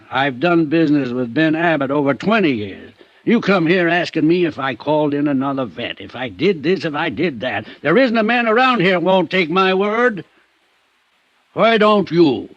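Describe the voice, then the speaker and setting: Actor as folksy older country vet, animated. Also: male voice, fairly high pitch, moderate pace, dry recording, natural voice. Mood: indignant. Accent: American. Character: folksy, proud, defensive older male country veterinarian. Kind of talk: 1950s radio drama acting. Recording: dull vintage radio recording.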